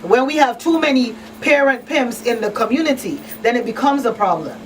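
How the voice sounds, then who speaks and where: A woman's voice: on the high side, expressive, fairly quick. A woman hosting a radio talk show, speaking conversationally and emphatically, repeating phrases to drive her points home. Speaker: talk show host and crisis counselor. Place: radio studio. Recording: noisy radio room, slightly distant mic.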